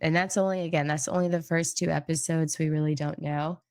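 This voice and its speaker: A young woman hosts a casual news recap, her voice nasal and stuffy from a cold. She speaks low with a gravelly edge and often hedges and rambles between fillers. The sound is close and dry. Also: fairly even intonation, a little fast, darkish tone, no echo. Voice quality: nasal, getting over a cold. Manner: rambling and hedging, with many fillers. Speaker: host of a news recap channel. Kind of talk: casual commentary reading an article aloud.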